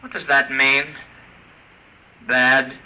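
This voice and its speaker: An actor playing a gentle, naive male robot in a 1950s radio drama, asking simple, earnest questions. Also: medium pitch, level tone, drawn-out words, lo-fi sound, heavily acted. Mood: plaintive.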